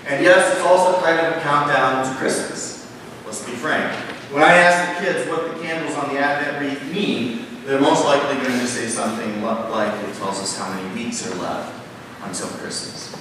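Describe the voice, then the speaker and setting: A man's voice: mid-range voice, fairly even intonation, normal speed, a bit bright, slight background noise. Man preaching in an echoey church. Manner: conversational preaching with emphatic lists and gestures. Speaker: pastor. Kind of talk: sermon. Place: large church sanctuary, at a pulpit. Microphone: pulpit mic, filmed from far camera. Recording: echoey church hall from far off.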